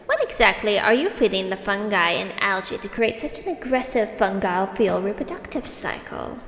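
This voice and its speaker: A woman's voice: mid-range voice, big pitch swings, moderate pace, fairly bright tone. An actress playing an insistent, skeptical woman challenging officials in an audio drama, pointed and firm. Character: insistent, skeptical female challenger at press conference. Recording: echoey hall, background noise.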